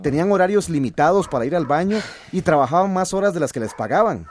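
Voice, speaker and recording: An actor plays a male villager in a radio drama, chatty and familiar. His voice is fairly high for a man and very animated, with clear noise in the background. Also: fast talker, lightly acted. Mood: serious. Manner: familiar, colloquial.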